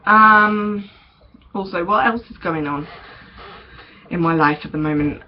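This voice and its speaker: A man's voice: high voice, unhurried. A young man, chatting casually in a vlog update, hesitant with many ums and small laughs. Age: young adult. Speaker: vlogger. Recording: dull lo-fi recording with faint noise.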